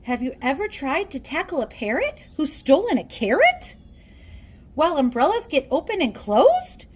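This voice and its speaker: A woman reading a children's storybook aloud in a theatrical, deliberate storytelling manner. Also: high voice, wildly swooping pitch. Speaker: storybook reader. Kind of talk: children's read-aloud narration.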